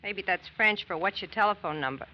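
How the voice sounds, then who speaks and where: An actress playing a sassy, chatty small-town American diner waitress in an old-time radio drama, with nosy, slangy banter. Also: female voice, mid-range voice, animated, a little fast, close to an everyday voice. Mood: puzzled. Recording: muffled, faintly noisy old radio recording.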